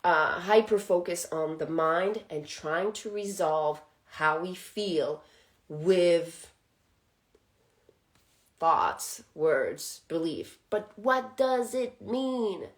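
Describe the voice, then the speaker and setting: A woman's voice: lowish voice, very slow. A woman whose first language is French, hosting a spiritual channel and talking casually, reflective and laughing often.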